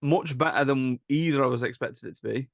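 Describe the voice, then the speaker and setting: A man's voice: mid-range voice, a little fast, creaky, no room sound, clean studio sound. A man leading a casual film discussion as a podcast host. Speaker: teacher.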